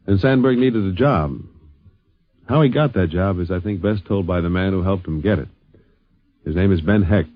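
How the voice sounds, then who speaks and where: Male vintage radio narrator, low voice, scripted delivery, tinny narrow-band recording. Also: normal speed, long pauses.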